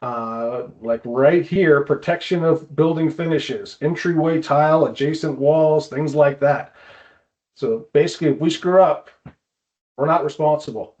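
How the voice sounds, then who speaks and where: A man's voice: on the high side, measured pace, darkish tone. A man giving an informal explanatory presentation in a conversational way, on slightly dull lo-fi audio.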